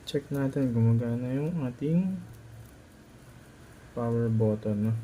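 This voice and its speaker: A man's voice: medium pitch, lively intonation, unhurried, mellow, a little hiss or hum. A man with a Filipino accent narrating a hands-on repair tutorial as a technician, informal and instructive, often checking in with short tag questions.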